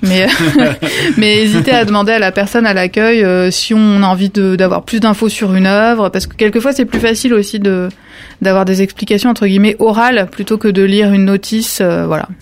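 A woman's voice: medium pitch, steady tone, fairly quick. A woman who works at an art gallery's reception, explaining exhibitions in a casual conversation with many fillers. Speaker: museum visitor services staff.

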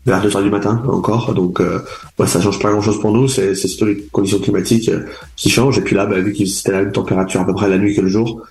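Deep-voiced hesitant racer in a roomy space. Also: male voice, steady tone, fast talker, darkish tone. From France, raised in Charente from age four. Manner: hesitant, many fillers and restarts. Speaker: endurance motorcycle racer being interviewed. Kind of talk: casual interview answers. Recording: roomy, echoey sound.

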